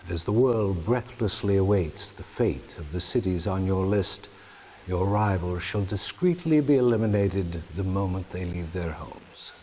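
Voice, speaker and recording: A man's voice: deep voice, mellow. Game villain chairman, smug, menacing, pompous, theatrical monologue, slightly noisy recording. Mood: grandly oratorical. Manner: pompous, measured speechmaking. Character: smug, menacing male chairman of a totalitarian regime. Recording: noisy game cutscene audio.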